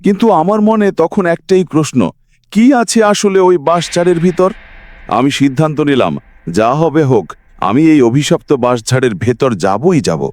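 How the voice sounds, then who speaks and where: A man narrates a ghost story as a dramatic scripted reading. His delivery is suspenseful and expressive, with lively movement in pitch that carries the tension. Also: moderate pace, dry recording.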